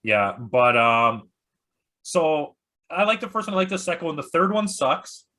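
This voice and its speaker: A man's voice: a little high, sing-song, a little slow, bright, forceful tone. A man chatting casually and bluntly about films as a podcast host, with exasperated critiques. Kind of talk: casual opinionated chat.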